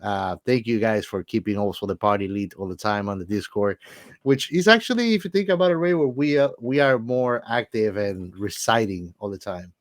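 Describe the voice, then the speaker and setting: A man's voice: lowish voice, normal speed, no echo, clean studio sound. A man co-hosting a podcast in casual chat, enthusiastic and repeating words for emphasis. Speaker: podcast co-host.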